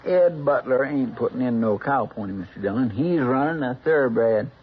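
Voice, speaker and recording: An actor playing an eager, folksy deputy sidekick with a rural American accent in a western radio drama. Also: male voice, mid-range voice, expressive, moderate pace, husky. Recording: dull old-time radio recording.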